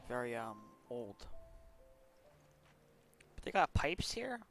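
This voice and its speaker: A man chats casually as he plays a video game. His delivery is very unhurried, at a middling pitch for a man. Faint music plays behind him. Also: sing-song, a bit bright. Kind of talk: casual gameplay commentary.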